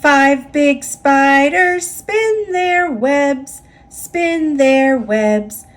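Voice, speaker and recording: High-pitched American woman, slow storybook reader. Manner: expressive, deliberate storytelling with rhythmic repetition. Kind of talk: read-aloud children's story narration, partly sing-song.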